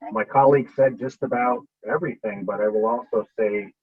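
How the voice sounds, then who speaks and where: A man speaking off the cuff as a state representative on a panel, halting and often repeating and rephrasing himself. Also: medium pitch, husky, phone-quality sound. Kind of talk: unscripted panel remarks.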